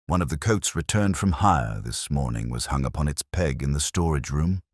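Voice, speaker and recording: A man's voice: a bass voice, lively intonation, normal speed, dry recording, clean studio sound. A man voicing a nervous, bewildered costumier's clerk in an audiobook mystery, formal, hesitant and deferential. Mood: bewildered, uneasy.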